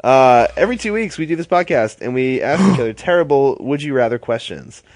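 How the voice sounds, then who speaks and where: A man's voice: mid-range voice, expressive, brisk, darkish tone, no echo. A man with a stuffy, congested voice hosting a casual podcast, laughing and swearing as he bickers with his co-hosts. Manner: laughing often, swearing, playful. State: congested from a cold. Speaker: podcast host.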